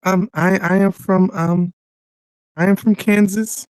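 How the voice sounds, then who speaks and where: A man calling in to a show, shy and halting, with a soft sweet voice over an online call. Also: high-pitched, flat delivery, slight background noise. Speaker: call-in listener. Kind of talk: comic call-in bit.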